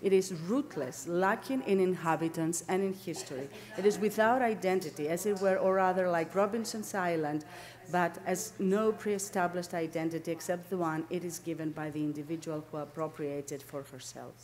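Low-pitched Greek-accented woman lecturing. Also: a little mellow. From Greece. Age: middle-aged. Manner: halting, searching for words, self-correcting. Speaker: academic lecturer, landscape architect. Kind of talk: academic seminar lecture. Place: indoor lecture room, at a lectern.

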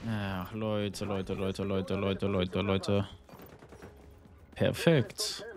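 A young man giving casual, rambling commentary while playing a shooter, with a slightly hoarse voice from a cold, swearing often and filling gaps with ums. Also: low-pitched, little pitch movement, drawn-out words. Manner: chatty, swears often, rambling with many fillers. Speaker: gaming YouTuber. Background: game music and gunfire.

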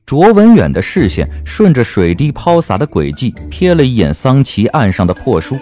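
A man's voice: medium pitch, very animated, normal speed, dark tone. A man narrating a comic period novel as an audiobook, with a wry, theatrical delivery. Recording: music bed under the voice.

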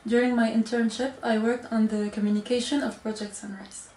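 A woman's voice: a little high, flat delivery, fairly quick, a little mellow, echoey room, a little hiss or hum. A woman introducing herself as a student who worked on the project's communication, plainly and steadily. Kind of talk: short self-introduction.

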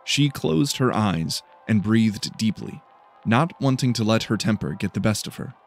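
A man's voice: on the low side, animated, unhurried, creaky. A man reading scripted fiction as a dramatic audiobook narrator. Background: faint music.

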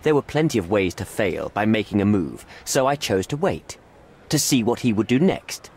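An actor playing a middle-aged envoy in a video game, formal, evasive and smooth-talking, with a placating, pleading manner. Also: male voice, fairly low pitch, big pitch swings, moderate pace, darkish tone, heavily acted. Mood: anxious, pleading. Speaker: British envoy. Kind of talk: video game cutscene acting.

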